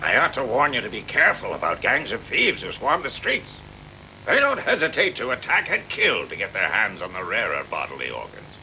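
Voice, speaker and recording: A man's voice: fairly low pitch, huge pitch swings, a little fast. A man voicing a worldly, cautioning character in an English anime dub, heard from an old videotape. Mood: warning. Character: worldly, cautioning adult male associate of the doctor. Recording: noisy old VHS tape dub.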